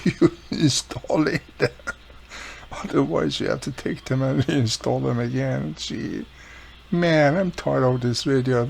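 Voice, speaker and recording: A man's voice: mid-range voice, vocal fry, a little hiss or hum. A man giving a casual, hands-on repair walkthrough, explaining informally step by step as he works. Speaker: radio repair hobbyist presenting his own how-to video.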